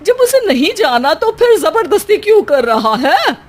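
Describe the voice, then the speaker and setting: A woman voicing a sharp-tongued, bossy older Indian mother-in-law in a cartoon, accusing and retorting. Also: very high voice, sing-song, normal speed. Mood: sarcastic, scolding.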